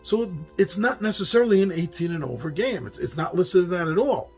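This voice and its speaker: A man with a New York accent hosting a casual monologue about board games, chatty and quick to laugh at his own slips. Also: high-pitched, expressive, soft background music. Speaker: host.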